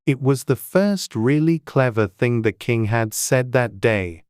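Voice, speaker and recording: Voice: medium pitch, animated, a little slow, a little mellow, clean tone, no echo, clean studio sound. An audiobook narrator reading a classic tale in a dramatized storybook style.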